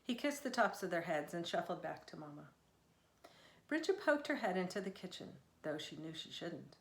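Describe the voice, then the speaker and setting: A woman author reading narrative prose from her book in a measured, expressive storytelling manner. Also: on the low side, creaky. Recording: echoey room sound.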